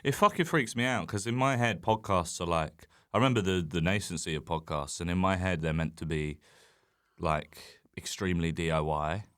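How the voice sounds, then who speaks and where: Very deep-voiced young male comedian. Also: moderate pace, clean tone, no room sound, studio quality. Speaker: comedian, podcast guest. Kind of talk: casual comic chat.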